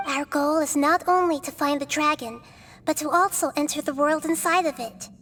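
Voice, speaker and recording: A woman playing a small, wise child goddess in a video game, speaking in a measured, formal and earnest way. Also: high-pitched, fairly bright tone. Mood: calm, diplomatic. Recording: game music under the voice.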